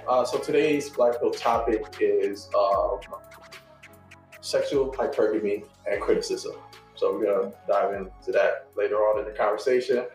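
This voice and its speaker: A man hosting a casual podcast, talking in a relaxed way with many fillers. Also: lowish voice, normal speed, faint music behind. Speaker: podcast host. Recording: echoey room, mic at a distance.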